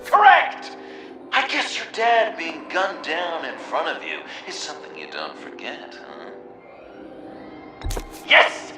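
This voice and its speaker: An actor playing a manic, theatrical game villain who taunts the hero with macabre mockery, moving between hushed menace and gleeful shouts. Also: male voice, a little high, wildly swooping pitch, takes it slowly, long pauses, exaggerated voice. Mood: gleeful mocking. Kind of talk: video game villain voice acting. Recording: echoey, distant, with loud music behind. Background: game music.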